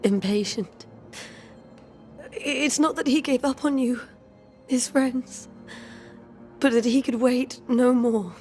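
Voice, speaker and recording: Refined, sorrowful widow. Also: female voice, high voice, darkish tone, faint music behind. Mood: mournful. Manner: formal, measured, sorrowful. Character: grieving widow of a colonial settlement, refined and restrained. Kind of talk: video game drama acting.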